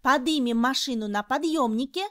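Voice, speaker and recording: A woman's voice: high-pitched, normal speed. A woman reading scripted narration for a children's educational cartoon in simple, instructional phrases.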